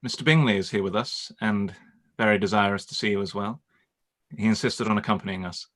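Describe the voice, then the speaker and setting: A man playing a courteous, reserved gentleman in a period radio play, speaking formally and with restraint. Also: on the low side, fairly quick. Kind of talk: live-read period radio play acting.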